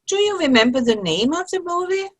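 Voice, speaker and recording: A woman's voice: very high voice, sing-song, brisk. A woman playing a cheerful, teasing grandmother among old friends in an amateur comedy play reading. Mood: amused. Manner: good-humored, teasing. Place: online video-call play reading.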